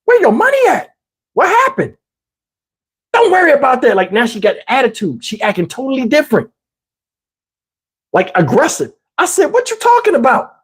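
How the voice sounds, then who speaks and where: Casting: American man, husky voice, animated streamer spinning personal tales in loose slang and playing out each side of the conversation. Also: extremely high pitch, moderate pace, pauses often. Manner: slangy, acts out dialogue. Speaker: livestream host telling personal stories. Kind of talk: casual animated storytelling.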